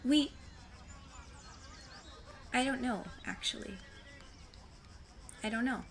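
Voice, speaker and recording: A woman's voice: a little high, takes it slowly. A woman playing an earnest, blunt fifteen-year-old girl sleuth in an audio drama, speaking in formal, precise phrases. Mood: uncertain, defensive.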